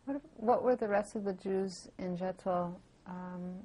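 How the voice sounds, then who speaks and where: Woman interviewer, mellow husky voice, brief gentle questions. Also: mid-range voice, measured pace, low-quality recording.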